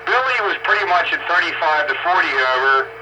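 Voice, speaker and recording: Chatty higher-voiced man on noisy ham radio. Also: expressive, fast talker. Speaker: amateur radio operator hosting an on-air roundup. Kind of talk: casual radio chat. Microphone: radio transceiver microphone.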